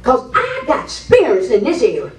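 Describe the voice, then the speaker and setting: A woman's voice: on the high side, huge pitch swings, moderate pace, recorded from a distance, noisy background. A woman on stage playing a sassy old American grandmother who advises in a folksy way, repeating her words. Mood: bossy, advising. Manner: folksy, repeats words, calls people baby and sugar. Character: sassy old grandmother dispensing frank marriage advice. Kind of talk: comedic stage play acting. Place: indoor stage set in a hall. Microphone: distant camera. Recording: echoey stage, picked up from afar.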